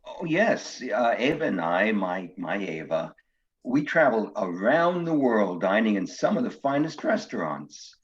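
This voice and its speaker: A man's voice: on the low side, big pitch swings, a little slow. A man playing a nervous, cultured older widower in a comic one-act play, hesitant, stammering and earnest. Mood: polite, hesitant. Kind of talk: one-act stage comedy acting. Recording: dull, lo-fi sound.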